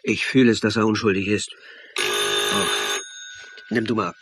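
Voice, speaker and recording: Husky, earnest man. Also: lowish voice, fairly even intonation, unhurried, a bit bright, no room sound, exaggerated voice. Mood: anguished, guilty. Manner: earnest, intense. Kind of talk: German radio crime drama acting and narration.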